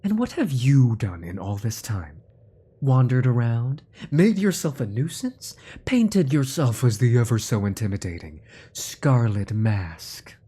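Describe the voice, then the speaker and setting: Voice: medium pitch, big pitch swings, unhurried, mellow, breathy edge. An actor playing a menacing, centuries-old sorcerer-scientist in a fantasy audio drama, with a smug, theatrical delivery that slips into whispers. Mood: smug, grandiose.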